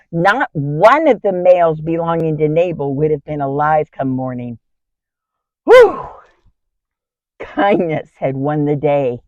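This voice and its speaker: A woman's voice: low voice, darkish tone, no room sound, highs cut off. A woman playing a kind, brave biblical wife in an earnest, theatrical dramatic monologue. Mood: emphatic. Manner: theatrical, earnest, emphatic storytelling. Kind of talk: dramatic first-person Bible monologue.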